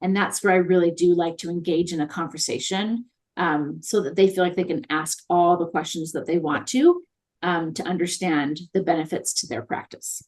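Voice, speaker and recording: Chatty upbeat woman, lowish voice. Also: fairly even intonation, moderate pace, a little mellow. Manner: chatty and upbeat, many fillers. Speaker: guest consultant on virtual assistants. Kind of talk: conversational interview.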